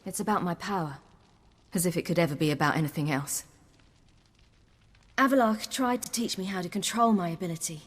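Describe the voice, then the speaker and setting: An actress playing a young hunted woman warrior in a video game, earnest, wry and defiant, telling things in a measured way. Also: fairly low pitch, breathy edge, in a large hall. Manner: measured, earnest storytelling with dry wit. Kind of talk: video game dialogue acting.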